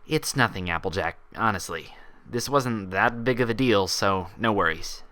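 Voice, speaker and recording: A man's voice: lowish voice, moderate pace, bright, forceful tone. A man voicing an anxious, frustrated young female pony patient in a storybook, hesitant and questioning. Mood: confused, placating.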